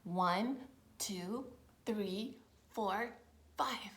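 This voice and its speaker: A woman teaching yoga storytime to young children, encouraging and playful, with expressive storytelling and hushed, breathy moments. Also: mid-range voice, drawn-out words. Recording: roomy echo with faint noise.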